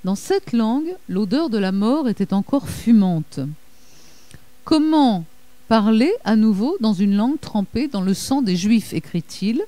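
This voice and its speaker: A woman delivers an academic talk in a dark, mellow voice. She speaks in a measured way, stopping on fillers and correcting herself now and then. The sound is dry and close, with little room around it. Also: fairly high pitch, lively intonation, no room sound. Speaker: lecturer. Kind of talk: academic lecture reading quotations.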